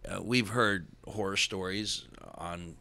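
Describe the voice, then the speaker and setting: Gravelly, low-voiced podcast host. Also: male voice, slow pace, bright, forceful tone. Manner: wry, teasing interjections. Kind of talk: casual podcast conversation.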